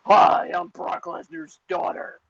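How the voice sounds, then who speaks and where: A man putting on a high mock voice of a wrestler's daughter as a joke. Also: takes it slowly, natural voice. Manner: joking falsetto mockery. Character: wrestler's daughter, put-on squeaky girl voice. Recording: dull lo-fi podcast audio.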